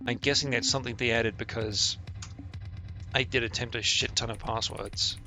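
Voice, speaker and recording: A man streams a game with a bright, forward voice at a middling pitch. He comments casually and reads the text out as he goes. His manner is sardonic and full of swearing. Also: steady tone, moderate pace, faint music behind.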